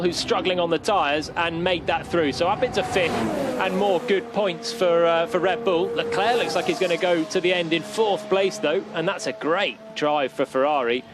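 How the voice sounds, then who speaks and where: High-voiced male race co-commentator. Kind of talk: live race analysis commentary.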